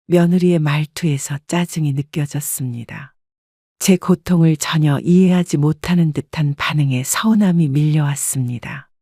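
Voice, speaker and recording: A woman's voice: sits low, normal speed, warm tone, no room sound, clean studio sound. A woman reading a scripted first-person story as an audiobook narrator.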